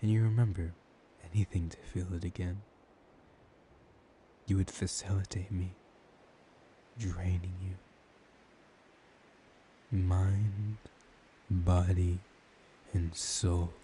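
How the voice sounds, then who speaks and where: A man playing a dominant, menacing, seductive vampire in a soft-spoken ASMR roleplay, speaking in a hushed, deliberate way that slips into whispers. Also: sits low, level tone. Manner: slow, hushed, lapsing into breathy whispers, deliberate pauses. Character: dominant, menacing, seductive male vampire hypnotizing his prey. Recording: audible background hiss.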